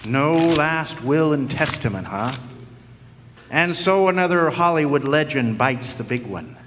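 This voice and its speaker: Man playing a deadpan noir private eye, echoey hall. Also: fairly high pitch, a little slow, slight background noise. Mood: deadpan brooding. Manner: deadpan, world-weary. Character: cynical hardboiled male private detective narrating his case. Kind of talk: 1950s noir radio drama narration. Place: large hall, live stage performance. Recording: echoey hall, stage performance.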